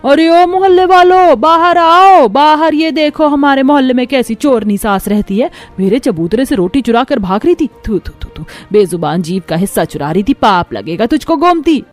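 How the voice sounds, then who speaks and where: Woman acting a shrill, spiteful neighbour woman, scolding loudly. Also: animated, moderate pace, hardly any pauses, faint music behind. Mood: outraged, scolding. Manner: scolding, taunting. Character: loud spiteful neighbour woman shaming a thief.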